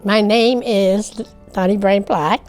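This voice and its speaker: Slow-spoken woman in her eighties. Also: medium pitch, fairly even intonation, darkish tone, faint music behind. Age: 80s. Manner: unhurried, rambling recollection. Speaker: longtime resident. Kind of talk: interview recollection.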